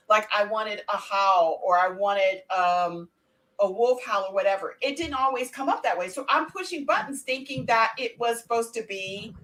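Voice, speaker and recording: A woman's voice: a little high, a bit bright, low-quality recording. A woman hosting a radio show in casual panel chat.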